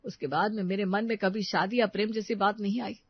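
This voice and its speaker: A woman's voice: mid-range voice, fairly even intonation, a little fast, husky, no room sound, highs cut off. A woman voicing an earnest, righteous young man in a melodramatic audio story, speaking with insistent conviction. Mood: defensive. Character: earnest, indignant young man defending a wronged widow.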